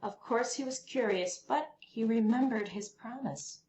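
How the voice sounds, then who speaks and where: A woman reading a children's picture book aloud as a storyteller, with expressive, deliberate phrasing for young listeners. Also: on the high side. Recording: roomy echo, faint background noise.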